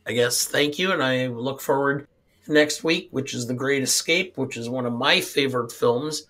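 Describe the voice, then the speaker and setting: Older Midwestern man, co-host, hoarse from a cold, rambling chat. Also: mid-range voice, normal speed, pushed and bright. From upper Midwest of the United States. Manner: rambling, with hesitant fillers. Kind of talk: casual podcast chat.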